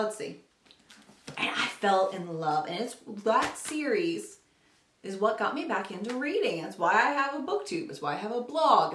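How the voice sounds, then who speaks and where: Young adult female book vlogger, mid-pitched, chatty and emphatically enthusiastic, recorded with noticeable room echo. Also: fairly bright tone. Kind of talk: casual chatty book talk to camera. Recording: roomy, echoing home recording.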